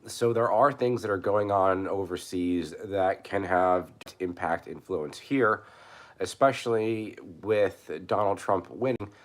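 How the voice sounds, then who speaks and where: A man hoarse from a cold, talking casually on his channel, halting and restarting with many fillers. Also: low voice. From New York. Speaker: prepper channel host. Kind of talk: casual live commentary.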